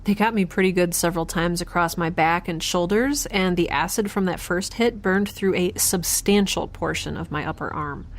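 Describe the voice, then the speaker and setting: A woman's voice: on the low side, close to an everyday voice. A woman playing a frazzled, wry young scientist in space, rambling and correcting herself as she talks in an audio drama. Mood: awkward, uneasy. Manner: halting, rambling, self-correcting. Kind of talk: audio drama, conversational log-diary monologue and dialogue.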